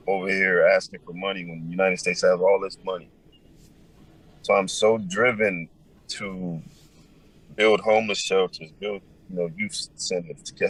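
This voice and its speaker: Man with a deep, powerful low voice; reflective interview guest, unhurried, searching for words. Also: rough recording. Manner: thoughtful, pauses with many ums. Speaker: musician guest. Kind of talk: casual interview answers.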